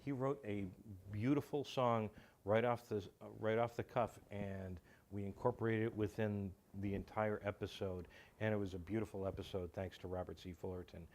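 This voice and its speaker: Talk show male, lowish pitch, enthusiastic, hesitant phrasing. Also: steady tone, a little mellow, a little hiss or hum. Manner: halting, enthusiastic. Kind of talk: casual talk show conversation.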